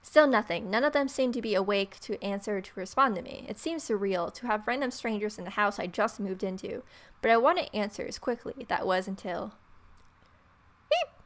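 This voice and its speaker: Female let's play host, mid-pitched, irreverent and playful, switching between narration and offhand remarks. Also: fairly quick. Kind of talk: visual novel read-aloud with casual commentary.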